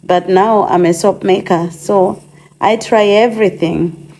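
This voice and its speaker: A woman hosting her own DIY channel, chatting through a cooking demonstration in her home kitchen, her voice a little hoarse from a cold and full of casual ums as she thinks aloud. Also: medium pitch, fairly even intonation, unhurried, dark tone, slight background noise, rough recording.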